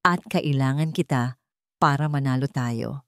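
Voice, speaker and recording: A woman's voice: extremely low pitch, a bit bright, no echo, clean studio sound, pitched below the performer's own voice, exaggerated voice. A woman voicing a determined, protective doctor in a dramatic story, speaking firmly and earnestly. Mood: resolute. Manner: firm, earnest, deliberate.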